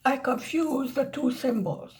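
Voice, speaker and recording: A woman's voice: high-pitched, unhurried, a little mellow. A woman with a slight Hungarian-American accent, chatting casually and rambling to viewers while she demonstrates a craft. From United States. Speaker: craft vlogger.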